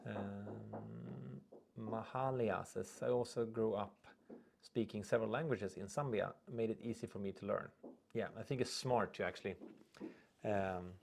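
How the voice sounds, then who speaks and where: A young man with a Swedish accent talks casually through a live stream, reading out viewer comments and sometimes tripping over his own words. His voice sits somewhat low and has a gravelly, fry-tinged texture. A faint hiss runs under the recording. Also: unhurried, a little mellow. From Sweden. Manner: rambling, reads comments aloud, trips over words. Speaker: livestream host.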